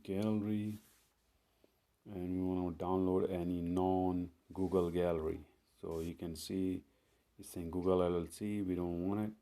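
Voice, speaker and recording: Slow, low-voiced man walking through phone steps. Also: level tone, warm tone. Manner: step-by-step, unhurried, plain instructions with fillers. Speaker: tech tutorial host. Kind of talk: screen-recorded phone tutorial.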